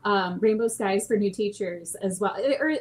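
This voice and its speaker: A woman hosting a live podcast, bubbly and quick to laugh as she reads out chat comments. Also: mid-range voice, fairly even intonation, darkish tone. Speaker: podcast host.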